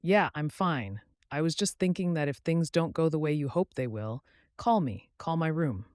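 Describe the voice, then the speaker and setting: A man acts out an ordinary guy on the run in an audiobook story. He is wry, evasive and casual, half-joking as he talks. The voice is slightly high and animated, recorded dry. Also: moderate pace, dry recording, close to an everyday voice. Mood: earnest, wry.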